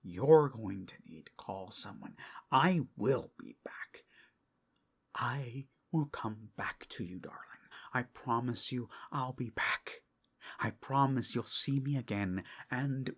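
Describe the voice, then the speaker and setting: A man voicing an obsessive, menacing male kidnapper in a creepy audio roleplay, with a slow, threatening murmur. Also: medium pitch, breathy edge. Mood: obsessive, vowing. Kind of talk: creepy ASMR roleplay acting.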